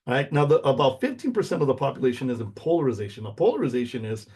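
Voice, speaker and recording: A middle-aged American man, a native Spanish speaker, gives an informal lecture. His voice is dark and relaxed with a husky grain, sitting at a medium pitch. He explains point by point and checks in with the listener as he goes. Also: rapid-fire. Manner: explanatory, checks in with "okay?" and "right?".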